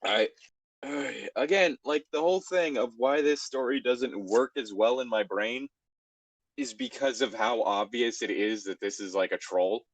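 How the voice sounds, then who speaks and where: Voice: medium pitch, unhurried, no room sound, rough recording. An exasperated, sardonic host casually reading fan fiction aloud and adding comic commentary.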